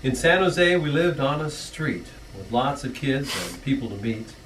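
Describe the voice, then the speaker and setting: Middle-aged guy reading his poem to his folks, mid-pitch, earnest, little stumbles, picked up by a camcorder across a church hall. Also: expressive, normal speed, distant mic, a little hiss or hum. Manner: earnest, with small stumbles and soft laughs. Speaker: son reading an anniversary poem. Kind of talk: reading his own rhyming poem aloud.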